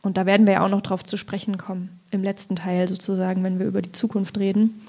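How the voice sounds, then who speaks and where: Mellow, even-toned woman, tentative and reflective. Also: medium pitch, quick pace. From Thuringia, Germany. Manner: tentative, searching for words. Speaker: poet and writer. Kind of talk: reflective literary discussion.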